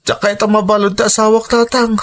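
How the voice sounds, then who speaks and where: A woman's voice: medium pitch, flat delivery, fast talker, close to an everyday voice. Female actor as grown Ilocano daughter, desperate and pleading, radio serial acting. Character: desperate grown daughter begging her father for help.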